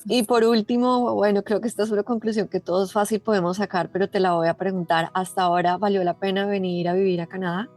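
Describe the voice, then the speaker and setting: A woman hosting an interview podcast, speaking in a gracious, measured way. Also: mid-range voice, level tone, a little mellow, soft background music. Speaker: podcast host.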